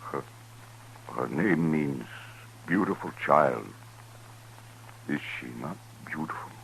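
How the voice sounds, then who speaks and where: Very deep, slow, solemn old-radio chief. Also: male voice, lively intonation, gravelly, fairly bright tone, exaggerated voice, rough recording. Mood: solemn grief. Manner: solemn, formal, deliberate phrasing. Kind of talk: 1950s radio western acting. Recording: 1950s radio recording with faint noise.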